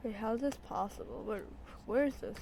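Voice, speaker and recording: A woman's voice: on the high side, brisk, gravelly, noisy background. A woman streaming a game, muttering short, casual reactions to herself as she plays. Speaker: streamer playing a story game. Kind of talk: casual reactions while gaming.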